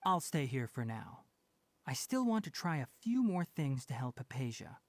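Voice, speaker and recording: A woman voicing a composed young male scholar in an anime video game, measured, articulate and thoughtful. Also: very deep voice, big pitch swings, no room sound. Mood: serious, resolved.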